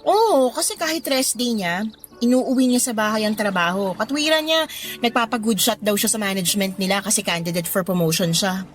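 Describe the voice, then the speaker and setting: A woman's voice: mid-range voice, animated, moderate pace, natural voice. An actress playing a discontented, flirty mother of thirty who confides in a Filipino accent, in a conversational radio drama style. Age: 30s. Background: background music.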